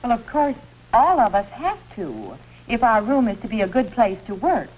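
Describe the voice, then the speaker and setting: A woman's voice: on the high side, sing-song, normal speed, dull audio. A woman playing a kindly, orderly primary school teacher in a 1950s educational film, patiently instructing young children. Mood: encouraging, instructive. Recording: noisy, band-limited 1950s film soundtrack.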